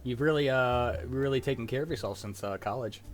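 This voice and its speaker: A man's voice: mid-range voice, brisk, soft background music. A young adult man hosting a livestream, chatting casually with friends in a joking, profane way. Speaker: host of a script-reading livestream. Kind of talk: casual group chat.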